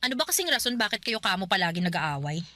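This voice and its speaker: An actress playing a stern but caring older sister in a radio drama, blunt and scolding with sharp retorts. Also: female voice, mid-range voice, big pitch swings, fast talker, dry recording, studio quality. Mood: questioning. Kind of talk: Filipino radio drama acting.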